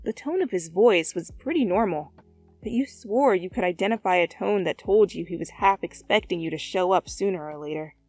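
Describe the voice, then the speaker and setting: A woman reading a story aloud as narrator, with expressive second-person narration. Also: lowish voice, fairly quick, dry recording. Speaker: narrator, fan fiction reader. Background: background music.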